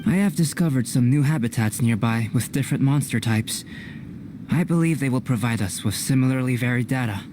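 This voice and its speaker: An actor playing a composed young scholar in an anime-style video game, analytical and detached, with measured, precise, formal speech. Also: male voice, medium pitch, background noise, lightly acted. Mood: calm, matter-of-fact.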